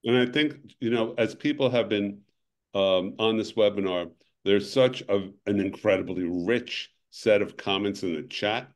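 An older man hosting a webinar over a video call, talking in a halting way that restarts phrases and sounds worn out. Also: on the low side. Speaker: webinar host. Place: home room, indoors.

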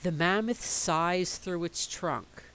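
A middle-aged woman reading a picture book aloud in a slow, expressive storytelling voice. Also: lowish voice, smooth.

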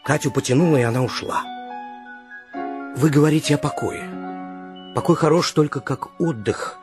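An actor playing an earnest, generous gentleman in a radio play, with a husky voice. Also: fairly high pitch, moderate pace, music in the background, lightly acted. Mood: firm, earnest. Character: earnest, generous, well-off returned brother, middle-aged gentleman.

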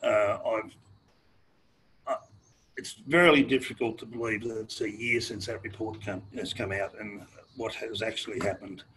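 Older man, Australian accent, hoarse and losing his voice, halting, video call, faint background hiss. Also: on the low side, fairly even intonation, a bit bright, low-quality recording. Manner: halting, many fillers and restarts. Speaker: panelist. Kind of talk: webinar talk. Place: sheltered outdoor veranda. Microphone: laptop video call.

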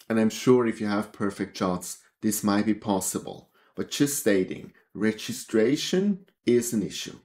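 A man's voice: on the low side, a little mellow. A man in his fifties with a Swiss German accent, hosting an astrophotography lesson in casual, chatty talk from a small home office on a headset mic. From Switzerland. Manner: chatty, gestures while talking. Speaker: astrophotography channel host.

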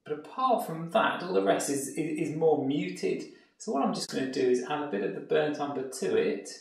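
Gravelly English art tutor, echoey room. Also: male voice, a little high, normal speed, distant mic. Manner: relaxed explanatory, thinking aloud while painting. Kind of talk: painting tutorial demonstration. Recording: echoey room, mic set back, soft music.

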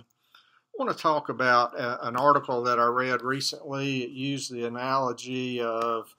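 A man in his sixties, a church elder, giving a gentle, halting devotional talk and prayer from an office, filmed by a desk webcam. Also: medium pitch. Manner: gentle, hesitant, many fillers. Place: office with wall map, indoors.

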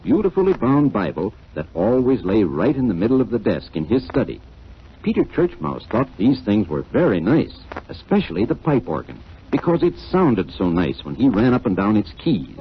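A man narrating a children's storybook tale in an expressive, measured 1940s style. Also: fairly low pitch, a little hiss or hum. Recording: muffled 1940s record sound.

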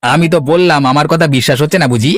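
A man's voice: a little high, very fast, no echo, clean studio sound, close to an everyday voice. A man playing a sly, scornful assistant to a rich villager in a cartoon, speaking brusquely. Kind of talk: Bengali cartoon voice acting.

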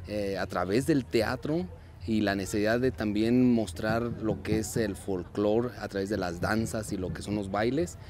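A man in his thirties from Mexico, a dance and theater group director, speaking earnestly and at a measured pace in an outdoor interview, with frequent fillers and restarts. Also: lowish voice, noisy background. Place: outdoors at a street parade. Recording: outdoor clip with music behind. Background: festive music.

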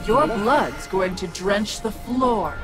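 A cruel witch demon for a video game, haughty and taunting. The delivery is slow, with dramatic swoops in pitch, over prominent music. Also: lively intonation. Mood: menacing. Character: cruel, gloating evil witch demon possessing a woman. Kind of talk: video game character acting.

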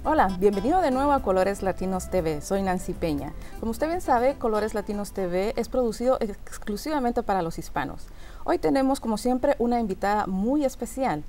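A woman's voice: mid-range voice, lively intonation, brisk, warm tone, soft background music. Middle-aged woman hosting a TV show. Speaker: TV host. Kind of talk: presenting a community TV show.

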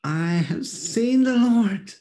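Male reader as distraught grieving woman, raised pitch, urgent pleading, scripture drama. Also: lively intonation, takes it slowly, dark tone, full character voice. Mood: exultant. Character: distraught, weeping young woman pleading at a tomb.

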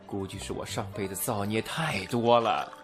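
A man's voice: fairly low pitch, normal speed, soft background music, close to an everyday voice. An actor playing a lovesick, persistent and sheepish young businessman in an amateur audio drama. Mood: rueful.

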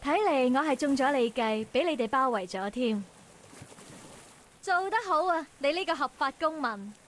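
A woman's voice: sits high, animated, normal speed, slight background noise. A woman voicing a cocky, teasing young lady thief in a visual novel, playful and theatrical, with a Hong Kong Cantonese accent. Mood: mock indignant. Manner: playful, theatrical, mock-villainous. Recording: game voice track over music.

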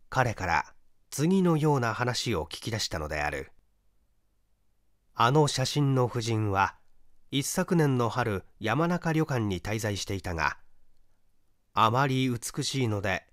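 Man narrating mystery fiction, expressive pitch. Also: dry recording, clean studio sound. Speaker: audiobook narrator, stage actor. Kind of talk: scripted narration of a mystery short story.

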